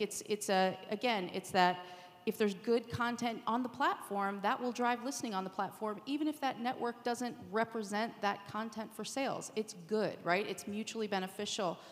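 A woman with a mid-range voice gives direct advice as a panelist. She is conversational, adding little tag questions, with the long reverb of a hall around her. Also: mid-range voice. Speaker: panelist, podcast network representative. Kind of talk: casual panel discussion.

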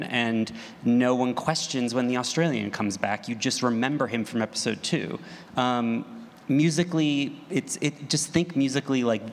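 A man on a panel giving informal opinions, eager and halting as he thinks aloud. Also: medium pitch. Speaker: panelist, audio drama creator. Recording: echoey hall with faint room noise.

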